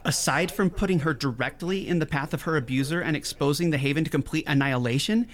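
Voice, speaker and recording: An actress playing a protective, guarded woman doctor in a fiction podcast, with a halting delivery. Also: low-pitched, close to an everyday voice. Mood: upset, angry. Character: protective, guarded telepathic woman doctor, quietly hurt and angry. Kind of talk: scripted fiction podcast acting.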